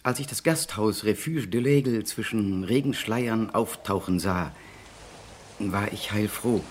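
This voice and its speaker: A man's voice: fairly low pitch, a little slow, a little hiss or hum, natural voice. A man acting an anxious, tormented client in a 1960s German radio play, speaking hesitantly and broodingly as he confides. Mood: agitated recounting. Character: anxious, tormented man seeking a private detective's help.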